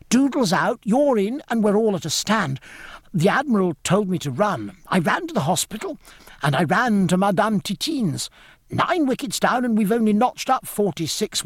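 Man voicing a high, frantic, pleading young boy. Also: normal speed, husky, no echo, clean studio sound, exaggerated voice. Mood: frantic, urgent. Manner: urgent, excitable, deferential pleading. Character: breathless, eager, pleading young boy midshipman. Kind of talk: audiobook character dialogue.

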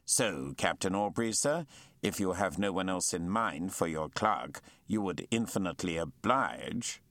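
A man's voice: deep voice, expressive, unhurried, a bit bright, no echo, studio quality, full character voice. A man voicing an eager, ingratiating shipping agent who speaks politely.

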